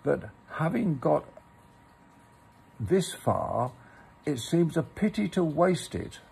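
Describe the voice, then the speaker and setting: A man's voice: a little high, animated, takes it slowly, vocal fry, a little mellow, husky, voice pushed up, full character voice. A man voicing a cheerful, practical rabbit in a storybook, polite, fussy and rambling. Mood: matter-of-fact.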